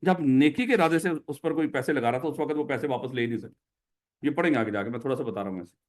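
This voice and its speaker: A man's voice: medium pitch, quick pace. A man with a Pakistani Urdu accent teaching a classroom lecture, who explains by putting questions to his students.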